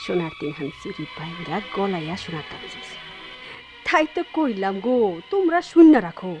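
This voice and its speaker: A woman's voice: mid-range voice, sing-song, a little fast, faint music behind, natural voice. An actress playing an unhinged, brooding village woman in a radio drama, rambling and repeating herself in a rural Bangladeshi Bengali accent. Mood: brooding, wistful. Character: unhinged, brooding village woman gloating over old enmity.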